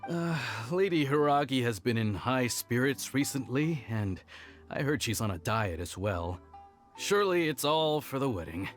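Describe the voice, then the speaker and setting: An anxious young clerk, played by a man, speaks formally and a little hesitantly, sighing between lines. His middle-pitched voice moves expressively up and down. Faint game music plays behind him. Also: animated, moderate pace, natural voice. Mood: polite. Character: anxious, lovesick young male commission clerk. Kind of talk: game voice acting.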